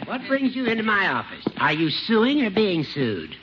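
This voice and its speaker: High-voiced teasing old judge. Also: male voice, wildly swooping pitch, moderate pace. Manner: chuckling, needling, precise. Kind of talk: 1950s radio comedy acting. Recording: muffled, noisy vintage radio recording.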